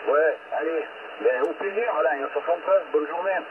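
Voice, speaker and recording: A man's voice: on the high side, moderate pace. A man chatting casually over two-way radio as he leads a group exchange, rambling, hesitating and repeating his phrases. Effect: radio transmission. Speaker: amateur radio operator leading a group chat. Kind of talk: casual CB radio chat in turns. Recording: tinny narrowband radio, loud static noise.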